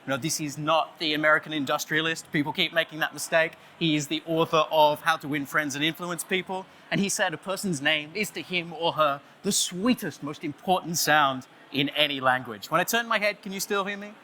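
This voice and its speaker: A man with an Australian accent giving a playful conference talk, loudly joking and chatting with the audience. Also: on the high side, a little fast, few pauses, a little hiss or hum. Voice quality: loud voice. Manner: jokey, playful, chatty with the crowd. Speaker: conference speaker. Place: conference stage, audience on headphones.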